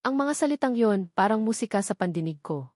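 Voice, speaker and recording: A Filipino woman reads a scripted romance drama in the first person. Her pitch sits in the middle of the female range, and the sound is dry with very little room to it. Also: expressive, brisk, darkish tone, no echo. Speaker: narrator of audio love stories.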